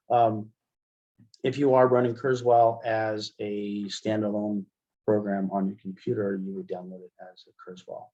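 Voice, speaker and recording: A middle-aged American man giving a casual, step-by-step software demonstration as a webinar trainer, speaking into a headset. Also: lowish voice, muffled recording. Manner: explanatory, step-by-step. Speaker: assistive technology trainer.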